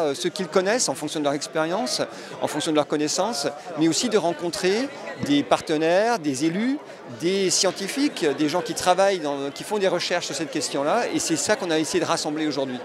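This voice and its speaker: A man with a fairly high voice explains in an interview, a little hesitant as he searches for words. A crowd is clearly audible behind him. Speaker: event organiser being interviewed.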